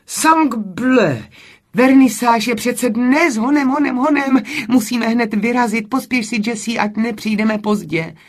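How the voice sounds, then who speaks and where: A woman's voice: mid-range voice, sing-song, few pauses, voice pushed up. A woman narrator voicing an impatient, proud, outspoken young French woman sculptor in an audiobook, with emphatic, exclamatory delivery. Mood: flustered urgency.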